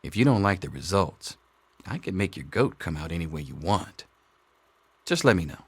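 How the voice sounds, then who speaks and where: A man's voice: sits low, very animated, normal speed, breathy edge, exaggerated voice. A man playing a wry, jaded middle-aged schoolteacher in a video game, with a sardonic, theatrical drawl. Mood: joking, confiding. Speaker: teacher. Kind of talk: video game dialogue acting.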